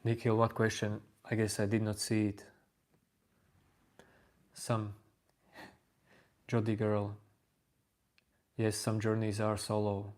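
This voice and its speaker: Slow, flat-voiced Latvian-accented man. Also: lowish voice. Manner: unhurried, even, reading out chat names. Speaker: livestream host answering chat. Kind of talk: slow calm spiritual chat.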